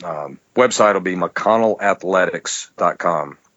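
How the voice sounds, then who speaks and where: A man's voice: low-pitched, a little slow, a bit bright, no room sound, muffled recording. A man speaking as a strength coach and podcast guest in casual, rambling interview talk peppered with "you know".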